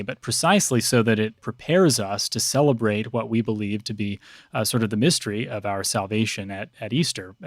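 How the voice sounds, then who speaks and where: A man speaking as a priest and podcast guest, explaining religious customs conversationally in measured, scholarly, precise wording. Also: mid-range voice, dry recording, studio quality.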